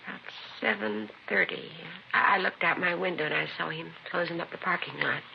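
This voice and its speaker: A woman playing a polite, chatty boarding-house landlady in a 1950s radio crime drama, creaky and hesitant. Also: on the low side. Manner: hesitant, recalling slowly. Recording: noisy, dull vintage radio recording.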